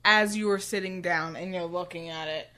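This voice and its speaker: Female podcast co-host with a bright, forceful voice, blunt, sarcastic, casually sweary. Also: fairly low pitch, fairly even intonation, moderate pace. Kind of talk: casual bantering book recap chat.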